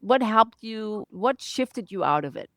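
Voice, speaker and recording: A woman's voice: mid-range voice, expressive, a little slow, a bit bright, no room sound, studio quality. A woman hosting an interview podcast, English not her first language, chatting in an encouraging way. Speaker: podcast host. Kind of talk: relaxed interview chat.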